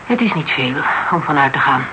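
A sceptical questioner played by an actor in a radio crime drama, speaking at a middling pitch. The delivery is terse, dry and probing. There is clear noise on the old recording. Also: animated, fairly quick, natural voice. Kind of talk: Dutch radio crime drama acting, conversational.

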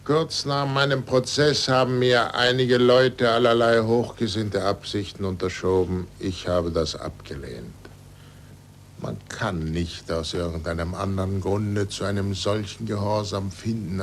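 An actor playing an aged, bitter, resigned scientist in a 1940s radio drama, speaking formally and deliberately. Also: male voice, sits low. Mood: dryly resigned. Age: older. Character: aged recanted scientist under church supervision, bitter and resigned. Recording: noisy 1940s radio recording.

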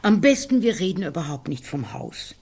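A woman's voice: on the low side, big pitch swings, normal speed, a little mellow, husky, full character voice. An actress playing a practical, impatient older woman in a literary radio drama, curt and matter-of-fact. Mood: pragmatic.

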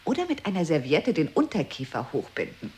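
A grim kitchen woman in a radio play. Her voice sits a little low but swings widely, and her statements land clipped and deadpan. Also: brisk. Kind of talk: 1980s radio play acting.

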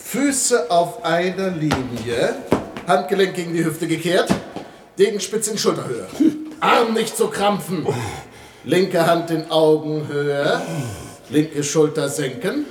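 A man's voice: on the high side, lively intonation, takes it slowly. A man acting a boastful, hot-tempered fencing master in an old German radio comedy, with a pompous, commanding delivery. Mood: brisk, commanding. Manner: barking clipped commands, pompous. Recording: roomy, noisy old radio recording.

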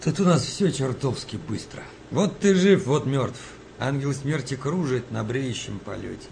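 An actor playing a middle-aged, fatherly comrade soldier in a wartime radio play, colloquial, steady and consoling. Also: mid-range voice, unhurried, gravelly. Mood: sober, matter-of-fact. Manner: colloquial, reassuring. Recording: noisy wartime ambience behind voice.

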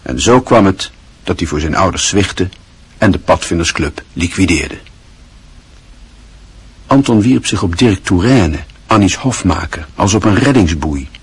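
Man reading narration for a radio play, calm and measured, deep-ish, really raspy. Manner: measured, literary storytelling.

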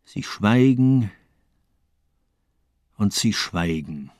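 Fanatical murderous doctor, swinging intonation. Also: male voice, lowish voice, expressive, drawn-out words, darkish tone, no room sound, studio quality. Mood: calm, sad. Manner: rhetorical, grandiose, declaiming. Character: fanatical nihilist doctor, cold male torturer and murderer. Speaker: actor in a crime radio play. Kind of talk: dramatic radio play monologue.

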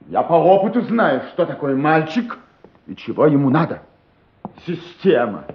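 A man's voice: on the high side, big pitch swings, unhurried, roomy sound, lo-fi sound. An actor playing a stern, wrathful father who speaks formally, with scorn and menace, in a radio drama. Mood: cold contempt.

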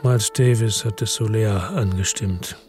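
An actor playing a world-weary police chief, narrating in a slow, measured, tired way in a radio drama. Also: male voice, lowish voice, over music, close to an everyday voice. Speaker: first-person narrator.

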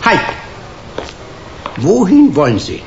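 Animated, stubborn, officious doorman. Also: high-pitched, slow, dull audio, noisy background, heavily acted. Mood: officious, gruff. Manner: brusque, pedantic, turning whiny. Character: stubborn, officious, petty bribe-seeking doorman. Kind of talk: radio drama acting.

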